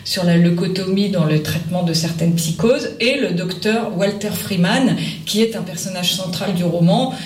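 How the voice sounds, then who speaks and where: A woman's voice: on the low side, moderate pace, darkish tone. A woman author answering interview questions, speaking hesitantly with many fillers. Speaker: author guest. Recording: roomy and distant with faint noise.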